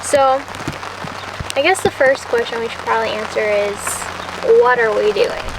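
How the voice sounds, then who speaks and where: A woman's voice: fairly high pitch, normal speed, crowd noise. Chatty woman in her twenties, outdoors. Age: 20s. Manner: chatty, reads questions from a list. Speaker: vlogger. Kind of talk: casual chat. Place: outdoors beside a car in the rain. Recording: distant outdoor camera audio with rain noise. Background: rain and outdoor ambience.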